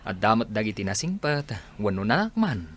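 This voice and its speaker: A man's voice: on the low side, big pitch swings, normal speed, fairly bright tone, slight background noise. A man acting a friendly, coaxing workmate in a Filipino audio drama.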